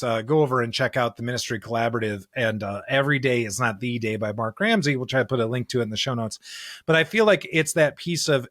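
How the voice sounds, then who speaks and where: A man's voice: medium pitch, fairly bright tone, no room sound, studio quality. A man co-hosting a podcast, talking through scripture in an earnest, emphatic, conversational way. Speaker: podcast co-host.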